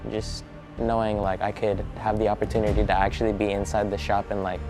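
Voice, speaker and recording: Man, eighteen, from California, low flat voice, hesitant. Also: brisk, music in the background. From Burbank, California. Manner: hesitant, with many "like"s. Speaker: student.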